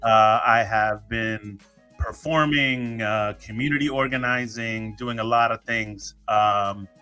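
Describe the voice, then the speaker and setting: A man's voice: lowish voice, fairly even intonation, takes it slowly, sharp tone, clean tone, faint music behind. A man hosting his own podcast, chatting casually and joking, with many fillers and restarts. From United States. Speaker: podcast host. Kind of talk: casual solo podcast talk.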